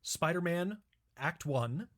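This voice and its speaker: Male podcast co-host, high voice, lively intonation, playful banter. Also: slow pace.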